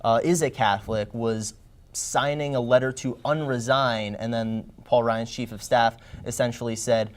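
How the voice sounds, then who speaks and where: A young man on a TV panel breaking down the news in a measured, analytical way. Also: mid-range voice, fairly even intonation. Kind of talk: casual panel discussion.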